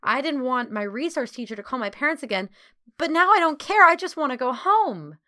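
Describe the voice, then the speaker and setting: A woman voicing an excitable, anxious young girl who chatters in a rapid, emphatic gush. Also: very high-pitched, pitched above the performer's own voice, exaggerated voice.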